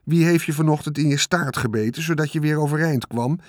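A man's voice: a little high, fairly quick, no room sound, studio quality, voice pushed up, lightly acted. A man doing a grumbling sarcastic rat. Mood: mocking. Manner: sulky, mocking, complaining. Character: lazy sarcastic male rat, grumbling and self-pitying.

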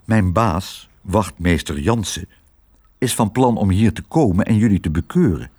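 A man's voice: low-pitched, expressive, a little slow, mellow, breathy edge, heavily acted. A man voicing a big male police dog in a children's story, bossy and officious but kind underneath. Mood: sheepish, confiding.

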